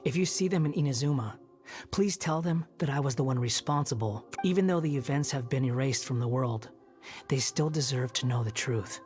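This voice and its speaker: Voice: mid-range voice, brisk, darkish tone, close to an everyday voice. An actor plays a sardonic young male wanderer in a game cutscene. He is aloof and curt, proud and brooding, with dry delivery over faint music. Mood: grave. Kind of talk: video game cutscene acting.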